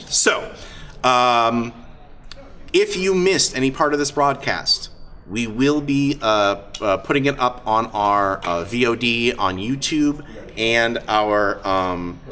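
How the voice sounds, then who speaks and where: A man hosting a live event as game master, talking off the cuff in a halting way and often repeating words. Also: mid-range voice. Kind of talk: unscripted talk to a live audience. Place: busy gaming convention. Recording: live convention hall, heavy crowd noise.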